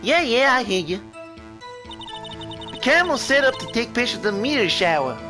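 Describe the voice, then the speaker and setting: Man putting on a high, whiny woman witness. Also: big pitch swings, moderate pace, over music. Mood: defensive. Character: drawling, defensive young woman witness, indignant and flustered. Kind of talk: video game character voice-over.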